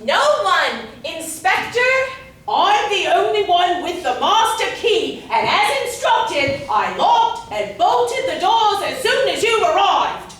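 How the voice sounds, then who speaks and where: Far from the mic, a very high voice talks slowly and formally. It is a woman playing a deferential servant in a stage murder mystery. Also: sing-song, fairly bright tone, heavily acted. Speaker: actor in a staged reading. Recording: very echoey hall, distant mic, faint noise.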